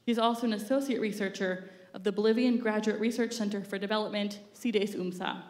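A woman's voice: medium pitch, darkish tone. A woman at a podium reading formal speaker introductions on a theater stage. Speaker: event host introducing speakers. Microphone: podium mic. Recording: roomy theater sound.

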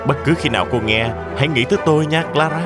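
A man's voice: fairly high pitch, fast talker, over loud music, close to an everyday voice. A man playing a gallant young nutcracker soldier in a cartoon fairy tale, courteous and earnest. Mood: admiring, courteous.

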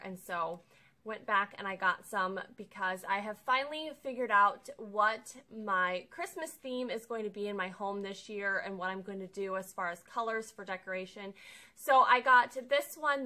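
A woman's voice: medium pitch, sharp tone. A young woman vlogging casually in her living room, chatting upbeat as she explains each item of a shopping haul to the camera. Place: living room with a sofa, indoors.

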